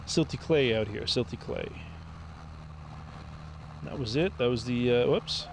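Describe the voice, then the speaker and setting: A man streaming a farming game, thinking aloud in a relaxed, rambling way as he plays. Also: medium pitch, a little slow, creaky, slight background noise. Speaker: gaming streamer. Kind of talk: casual gameplay commentary.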